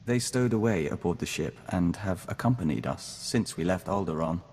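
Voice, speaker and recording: Measured, low-voiced hive-joined envoy. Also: male voice, lively intonation, a little mellow, breathy edge, big-room sound, heavily acted. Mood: earnest, dreamy. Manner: formal, measured, speaks of himself as we. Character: calm, formal young man joined to an insect hive mind. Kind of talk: scripted video game voice acting.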